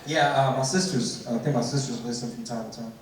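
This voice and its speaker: A man's voice: mid-range voice, steady tone, brisk, crowd noise. A man with a Texan accent hosting a live podcast on a comedy club stage, laid-back and slipping into Spanish slang. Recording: echoey club from a distance.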